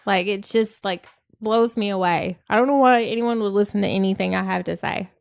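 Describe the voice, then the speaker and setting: A slightly nasal woman in her thirties chats on a podcast, speaking softly and hesitantly with frequent ums. Her mid-pitched voice is recorded close and dry, with no room sound around it. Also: fairly quick, creaky, no room sound. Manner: soft-spoken, hesitant, many ums. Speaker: podcast co-host. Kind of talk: casual podcast chat.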